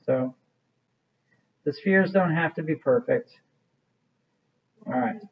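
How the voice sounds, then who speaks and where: Male instructor, somewhat high-pitched, casual step-by-step demo delivery, thinks out loud, muffled low-fidelity sound. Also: slow pace. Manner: informal, thinking aloud as he works. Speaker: art teacher. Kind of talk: hands-on demonstration, casual instruction.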